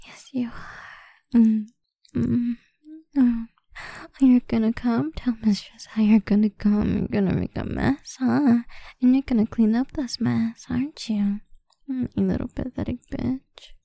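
A woman speaking in a breathy whisper in an erotic roleplay audio, domineering, commanding and taunting. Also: on the high side, fairly even intonation, unhurried, mellow, no room sound.

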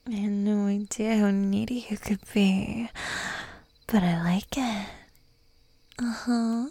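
A woman whispering close to the mic in an adult roleplay, dominant and teasing, giving commands in a breathy whisper. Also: medium pitch, fairly even intonation, slow pace, mellow, no room sound.

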